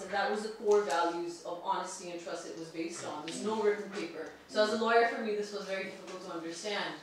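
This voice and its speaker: A woman from Pakistan, earnestly and articulately putting a question and comment at a seminar. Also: medium pitch. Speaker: audience member, barrister and entrepreneur. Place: large indoor meeting room. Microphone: far room camera. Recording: distant mic, very echoey room, faint noise.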